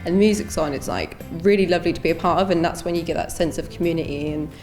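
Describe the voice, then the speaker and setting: A young woman chats in an interview. She speaks fast in a lowish voice, with soft music behind. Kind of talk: casual interview.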